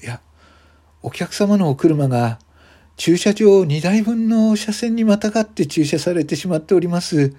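Man playing a timid, husky-voiced hotel attendant. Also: sits high, lively intonation, normal speed, warm tone, pitched above the performer's own voice. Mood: hesitant. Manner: hesitant, very polite, apologetic. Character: timid, polite young male hotel parking attendant.

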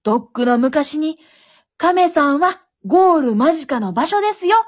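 A woman playing an excitable, wisecracking storyteller, narrating a comic radio drama like play-by-play with dramatic flair. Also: sits high, unhurried. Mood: wry, exasperated. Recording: dull, lo-fi recording.

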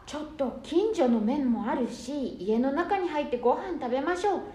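A woman acting as a gentle, mannerly wife and family peacemaker in a radio drama. Her voice is high-pitched and soothing, recorded in an echoey room. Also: animated, moderate pace. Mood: soothing, concerned. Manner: polite, soothing.

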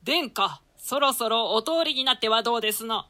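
A woman's voice: on the high side, animated, normal speed, bright, forceful tone. A woman performing a sly, ruthless duke who plots for power, in a theatrical Shakespeare reading. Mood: smooth, falsely kind. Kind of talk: theatrical Shakespeare reading in archaic Japanese translation.

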